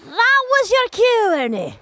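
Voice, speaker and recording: A woman's voice: extremely high pitch, huge pitch swings, pushed and bright, full character voice. A woman voicing a bossy, cheerful ship captain who rallies her downcast crew. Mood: rallying. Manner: brisk, commanding, reassuring.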